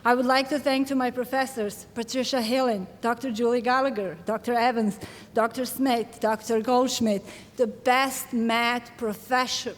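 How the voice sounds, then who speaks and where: Woman giving a graduation speech. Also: on the high side. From Bosnia. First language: Serbian. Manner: warm, joking, laughs between thanks. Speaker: graduating student. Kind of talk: commencement speech. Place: large hall at a graduation ceremony. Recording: echoey hall with a crowd.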